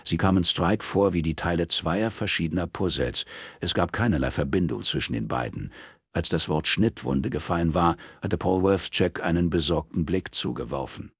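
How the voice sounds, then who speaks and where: A man's voice: sits low, normal speed, slightly rough, no room sound, studio quality. A man reading scripted crime fiction as an audiobook narrator.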